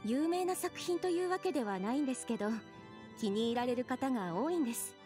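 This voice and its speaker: A woman playing a polite, gentle young nun in a Japanese visual novel. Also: high-pitched, expressive, very fast, faint music behind. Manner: polite, gentle, softly formal.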